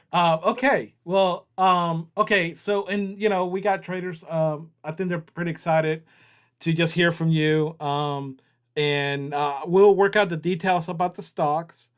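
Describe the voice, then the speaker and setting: A man's voice: on the high side, clear, resonant tone. A man hosting a casual livestream, joking around and reading viewer chat aloud. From Southern US. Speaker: livestream host.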